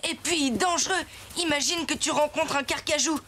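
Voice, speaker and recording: A woman's voice: high voice, normal speed, background noise, close to an everyday voice. A woman voicing a kind, sensible young boy in a children's cartoon, speaking in an earnest, concerned manner. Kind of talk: animated children's cartoon acting. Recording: music behind the voice.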